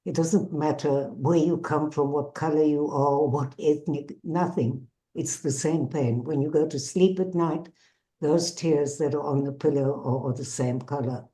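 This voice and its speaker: An older woman with a South African accent gives a measured, earnest personal testimony as a bereaved mother and peace activist. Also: deep voice, fairly even intonation, dark tone, poor audio. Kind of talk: personal testimony to an online audience.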